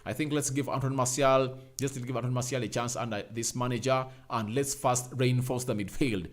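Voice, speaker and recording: A young man hosting a casual fan live stream, reading out viewer names and comments, repeating himself and pushing up to loud calls for likes. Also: mid-range voice, fairly even intonation, brisk. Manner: emphatic, rising to loud calls. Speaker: fan channel host.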